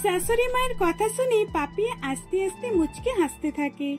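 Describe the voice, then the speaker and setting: A woman narrates a cartoon story from a script. Her voice is high, and soft music runs behind the narration. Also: expressive, fairly quick.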